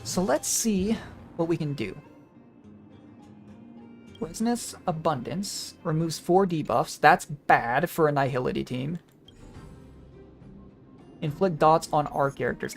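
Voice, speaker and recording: A game streamer talks casually through their play in a mid-pitched voice. The delivery rambles, as if thinking aloud, with game music playing behind it. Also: lively intonation, unhurried, pauses often. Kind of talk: casual gameplay commentary. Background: video game music.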